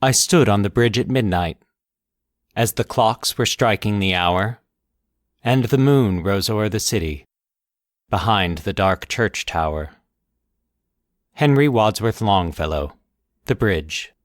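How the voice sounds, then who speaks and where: A man hosting and narrating a fiction podcast in a deliberate, literary storytelling delivery. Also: on the low side, lively intonation, no room sound, studio quality.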